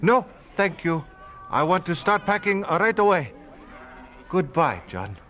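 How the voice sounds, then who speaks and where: A devout Chinese evangelist in an audio drama. His voice sits high for a man and has a bright, keen edge. He speaks earnestly and formally, a believer who admits his fears. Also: very animated, unhurried, heavily acted. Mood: warm, resolved. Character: earnest Chinese male evangelist, devout but candidly fearful. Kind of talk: radio drama dialogue acting.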